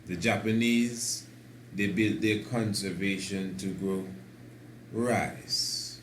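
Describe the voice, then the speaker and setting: A man hosting a talk, speaking slowly and emphatically and building to excited declarations. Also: sits low. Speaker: host. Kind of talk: preaching talk. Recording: roomy echo with faint noise.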